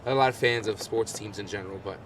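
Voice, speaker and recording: A man's voice: fairly low pitch, fast talker, distant mic, crowd noise. A young man from the Bronx hosting a casual livestream interview, chatty and eager, rambling a little. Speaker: interviewer host. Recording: off-mic with loud background noise.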